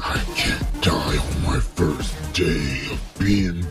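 An actor playing a savage, cocky wolf monster with a gravelly voice in an audio drama. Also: male voice, extremely low pitch, slow pace, music in the background. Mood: frustrated, hurt. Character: savage male werewolf-like ghost wolf monster, cocky villain.

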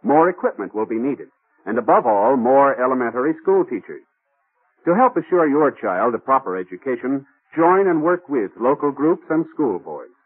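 A man's voice: mid-range voice, sing-song, moderate pace, husky. An American man announcing formally in the style of 1950s network radio. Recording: tinny old radio broadcast, faint music.

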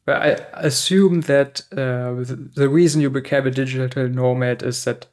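A man's voice: mid-range voice, steady tone, moderate pace, darkish tone. A man from Germany hosting a podcast, asking long searching questions with fillers. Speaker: podcast host. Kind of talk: casual interview chat.

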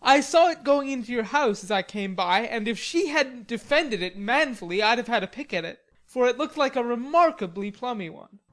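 A woman's voice: fairly high pitch, expressive, normal speed, hardly any pauses, fairly bright tone, pitched above the performer's own voice. Lady doing a jokey young gent in an audiobook, kinda theatrical and teasing, voice lifted up. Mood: teasing, jovial. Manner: playful, theatrical, exclamatory. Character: cheerful, teasing young gentleman, tall and melodramatic. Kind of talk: audiobook character dialogue.

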